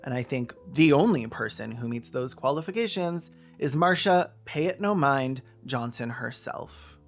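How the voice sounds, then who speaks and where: A man with a mid-range voice and a good deal of vocal fry delivers a scripted video essay. He is emphatic and opinionated, slipping into casual, sardonic phrasing, with music faintly behind him. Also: mid-range voice, expressive, a little slow. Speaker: video essayist and podcast host.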